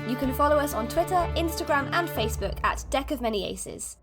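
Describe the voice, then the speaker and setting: High-pitched woman reading promo over music. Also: lively intonation, a little fast. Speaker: podcast promoter. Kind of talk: scripted promo read. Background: clear music.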